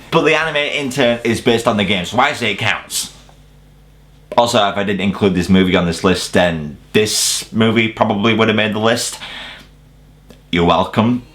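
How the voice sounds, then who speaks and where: A man's voice: fairly low pitch, a bit bright. A man delivering scripted comedic film commentary as a countdown host, with a sarcastic, casually sweary manner.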